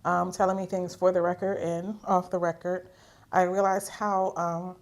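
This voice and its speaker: A woman's voice: on the low side, level tone, normal speed. A middle-aged woman from Philadelphia, telling her story as an interview guest, with many fillers and quoted dialogue. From Philadelphia, USA. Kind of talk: conversational interview.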